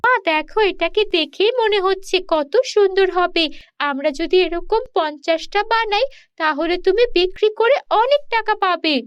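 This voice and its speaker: A woman's voice: very high voice, moderate pace, no echo, dull audio, voice pushed up. A woman voicing eager, talkative little village girls in a cartoon, coaxing and insistent.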